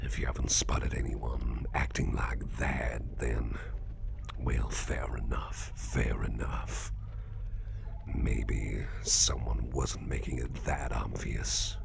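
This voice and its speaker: A man playing a suspicious, controlled businessman boss in an intimate ASMR roleplay, half-whispering in a measured, politely probing way. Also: little pitch movement, background noise, heavily acted. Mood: measured suspicion. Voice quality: very low, gravelly, breathy half-whisper. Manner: slow, measured, politely probing with pointed pauses.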